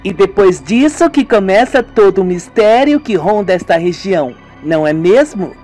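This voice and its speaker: A man with a Brazilian accent and a husky voice, reading a scripted radio soap and doing all the parts himself. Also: high-pitched, expressive, moderate pace, a little mellow. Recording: music behind the voice. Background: music.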